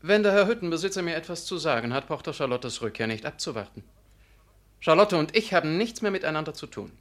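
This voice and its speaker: An actor playing a proud, aggrieved young curate in a radio drama, formal, self-righteous and declamatory. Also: male voice, on the high side, expressive, fast, a bit bright. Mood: cold, offended. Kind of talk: German radio play acting.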